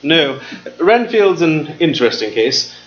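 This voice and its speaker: An actor playing a calm asylum doctor in a live radio play, controlled and explanatory. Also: male voice, fairly high pitch, unhurried, dull audio. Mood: explaining. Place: stage before a live audience.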